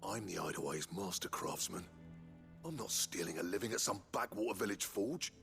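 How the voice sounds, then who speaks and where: An actor playing a gruff, proud master blacksmith who grumbles and swears bluntly in a video game. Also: male voice, sits low, normal speed, music in the background. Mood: proud, defiant. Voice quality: gravelly. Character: gruff, proud, foul-mouthed village-born master blacksmith.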